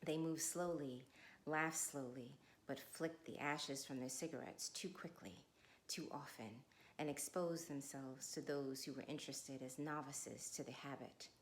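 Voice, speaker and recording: A middle-aged woman speaking with measured, deliberate phrasing, as a guest reader at a literary read-along. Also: very deep voice, steady tone, a little hiss or hum. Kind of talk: informal introduction, then literary read-aloud.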